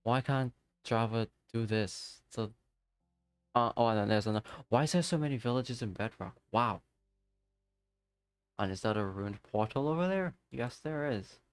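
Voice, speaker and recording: A man chats casually through a live game stream, talking to himself and his viewers as he plays. His voice is smooth and resonant. It is recorded close and dry on a desk microphone. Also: medium pitch, lively intonation, normal speed, long pauses, no room sound. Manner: chatty, talks to himself and to chat viewers. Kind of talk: casual live-stream chat while gaming.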